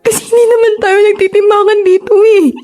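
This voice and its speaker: Actress doing a hurt young mom, really high voice, pleading and remorseful, radio drama with music behind. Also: female voice, flat delivery, quick pace, darkish tone. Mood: hurt, weary. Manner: emotional, tearful, pleading. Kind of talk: Filipino radio drama acting.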